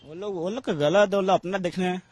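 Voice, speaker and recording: A local man speaking in a somewhat high voice with an eastern Uttar Pradesh accent. His street interview answers are informal, built from short, halting, simple phrases. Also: steady tone. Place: on location in a local neighbourhood.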